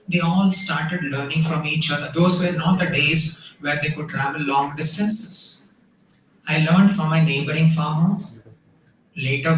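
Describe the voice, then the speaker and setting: A man's voice: fairly high pitch, slight background noise. Guy principal giving a speech, earnest, really echoey big hall, mic kinda far. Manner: earnest, advising students, measured phrasing. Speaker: college principal. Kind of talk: formal address at a college tech fest. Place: large hall.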